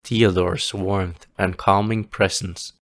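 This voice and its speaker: A man narrating a fantasy story as a scripted audio play, with a solemn, measured, literary storytelling delivery. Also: sits low, fairly even intonation, no room sound, clean studio sound. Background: music.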